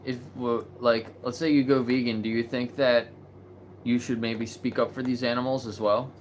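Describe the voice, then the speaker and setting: A man hosting a live video chat, talking in a relaxed, chatty way with many fillers. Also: medium pitch, normal speed, slight background noise. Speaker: host of a live video chat about animal rights. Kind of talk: casual livestream chat.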